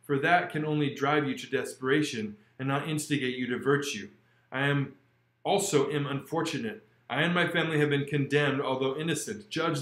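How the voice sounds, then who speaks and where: A blind old exile, kindly and earnest, his words coming slow and measured, as a man reads him. The voice sits raised and lifted above a plain reading tone. A roomy echo surrounds it. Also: a little high, natural voice. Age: older. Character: blind, kind, elderly male exile.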